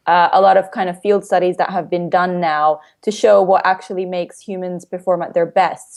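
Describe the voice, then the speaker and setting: A woman's voice: medium pitch, darkish tone. Chatty, informal woman from Finland. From Finland, with international upbringing. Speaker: coach, motivational speaker, interview guest. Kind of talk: casual explanatory interview talk.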